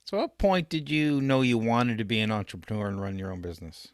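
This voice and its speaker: Man, interview host, smooth clear voice, mid pitch, dry recording, conversational questioning. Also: fast talker, dry recording. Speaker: interview host, startup coach.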